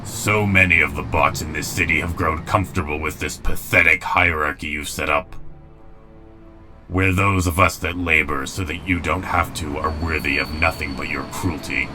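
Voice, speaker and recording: Grim, commanding robot warlord, extremely low voice, deliberate and proud, music underneath. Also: male voice, fairly even intonation. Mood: cold, menacing. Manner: formal, stern, deliberate phrasing. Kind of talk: dramatic comic dub acting. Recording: music behind the voice.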